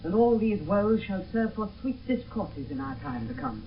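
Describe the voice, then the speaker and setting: An actor playing a devoted, sorrowful young lover, soft and lyrical, in classical Shakespearean radio drama. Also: male voice, high voice, lively intonation, moderate pace, slightly rough. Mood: tender, sorrowful. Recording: distant, muffled, noisy old radio broadcast.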